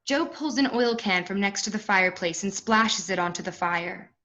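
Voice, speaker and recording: A woman's voice: medium pitch, moderate pace, bright, forceful tone, muffled recording. A woman reading stage directions as scripted narration for a play reading.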